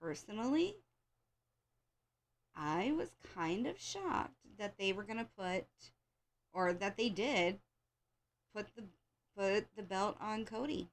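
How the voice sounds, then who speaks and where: A woman's voice: medium pitch, animated, slow pace, long pauses. Slightly congested American woman chatting casually. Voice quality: slightly congested, scratchy throat from allergies. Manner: opinionated, informal fan talk with repeated phrases. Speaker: host of a wrestling show. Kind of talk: casual rambling chat to listeners.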